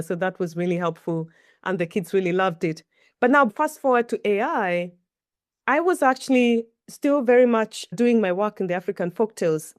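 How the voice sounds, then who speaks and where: A woman's voice: medium pitch, a little mellow, no echo, studio quality. A middle-aged Kenyan woman, a native Kikuyu speaker, answering interview questions in a thoughtful, storytelling way. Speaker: guest. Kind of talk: reflective interview talk.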